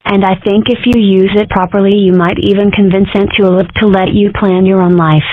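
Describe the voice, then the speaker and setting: A woman's voice: medium pitch, little pitch movement, a little fast, dry recording. A woman reading parts in a fan comic dub in a matter-of-fact, wry way. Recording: dry phone-like audio.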